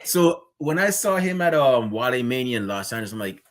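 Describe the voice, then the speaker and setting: A man from Guyana, hosting a casual interview and telling rambling, animated stories about meeting people. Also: medium pitch, a little fast. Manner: rambling, animated storytelling with acted quotes.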